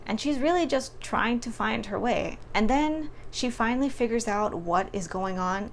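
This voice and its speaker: A woman giving a casual, expressive book review to camera in an informal, emphatic storytelling way. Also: medium pitch, a little hiss or hum.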